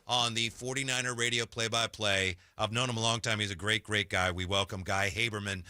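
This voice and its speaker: A man's voice: on the low side, fairly even intonation, fairly quick, bright, forceful tone, clear, resonant tone, dry recording, studio quality. A man hosting a casual sports radio show, effusive and upbeat toward his guest.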